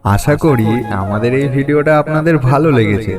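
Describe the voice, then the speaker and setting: A man's voice: medium pitch, brisk. A man reading a scripted announcement as a video channel's announcer. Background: loud music.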